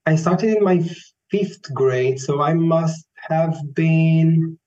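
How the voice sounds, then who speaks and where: Brief: Romanian-accented male tenor, 30s, unhurried and searching for words, muffled audio. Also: on the high side, dark tone. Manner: slow, thoughtful, searching for words. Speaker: classical tenor, guest. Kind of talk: reflective interview answers. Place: Vienna. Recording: dull lo-fi sound, faint music.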